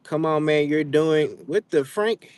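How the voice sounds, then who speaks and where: A young man with chats live with his viewers and teases them with loose, animated trash talk. His voice sits a little higher than most men's. The sound is close and dry, with no room echo. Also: measured pace. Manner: playful trash talk, animated, drawling slang. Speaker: livestream host. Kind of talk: casual live chat with viewers. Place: outdoors, frogs nearby.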